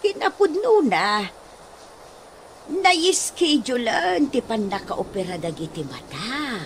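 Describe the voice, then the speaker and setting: A trusting, gentle blind friend from a radio drama acted by a woman. Her voice is very high and lilting, with slow, drawn-out phrases. There is clear noise behind her. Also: big pitch swings, full character voice. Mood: hopeful, confiding. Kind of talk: Ilocano radio comedy drama acting.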